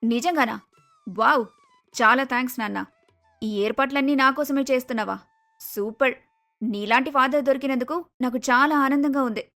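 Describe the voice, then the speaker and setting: A woman's voice: high voice, normal speed, pauses often, fairly bright tone, soft background music. A woman voicing an arrogant, spoiled young adult daughter in a cartoon moral story, with a haughty, bossy manner. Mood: delighted, gushing.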